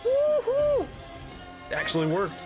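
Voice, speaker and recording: A man's voice: very high voice, wildly swooping pitch, slow. A man doing casual gameplay commentary as a streamer, exclamatory and musing aloud, with game music behind him. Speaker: streamer reacting to a video game.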